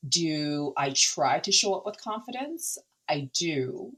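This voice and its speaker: Low-voiced Canadian woman, earnest guest. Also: very animated, takes it slowly, fairly bright tone, slightly rough, rough recording. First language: Cantonese. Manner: earnest, reflective, with hesitations and restarts. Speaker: podcast guest, nonprofit founder. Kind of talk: candid conversational interview.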